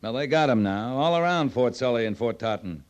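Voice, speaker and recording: Blunt, deadpan actor's cavalry captain, medium pitch. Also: male voice, fairly quick, lo-fi sound, lightly acted. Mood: blunt, skeptical. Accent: American. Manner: terse, deadpan, plain-spoken. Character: blunt, dry-witted US cavalry captain on the frontier. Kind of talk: old-time radio western acting.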